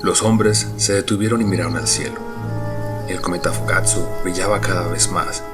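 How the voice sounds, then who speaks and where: A man with a deep, low voice narrates a story. He reads as the scripted narrator of an audio drama, with loud music behind him. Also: steady tone, normal speed.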